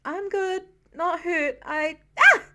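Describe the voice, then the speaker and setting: A woman's voice: very high voice, slow, heavy background noise, pitched above the performer's own voice. A woman voicing an earnest, sheepish and playful teenage boy in a story. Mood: flustered.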